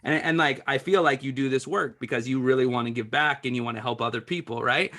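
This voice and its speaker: A man's voice: mid-range voice, fast, bright, forceful tone. A male author guest in an interview, speaking earnestly and explaining ideas, often saying "like". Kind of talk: conversational interview.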